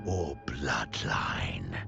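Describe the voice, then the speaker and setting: An actor playing a sinister, silkily menacing zealot in a video game, theatrical and drawn out, sliding into whispers. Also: male voice, extremely low pitch, big pitch swings, drawn-out words, creaky, over music, full character voice. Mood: taunting menace. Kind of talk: video game story dialogue.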